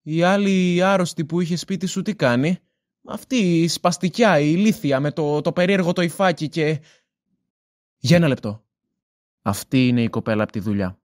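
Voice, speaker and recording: A man's voice: a little high, natural voice. A man playing an upbeat, prying young friend who encourages his pal in an audio drama, talking casually. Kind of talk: conversational audio drama acting.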